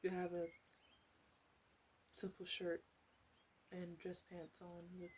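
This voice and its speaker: A woman playing a flirty, blunt witch in an ASMR roleplay, speaking softly and hesitantly and often dropping into whispers. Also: lowish voice, monotone, takes it slowly, a little hiss or hum. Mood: teasing, candid.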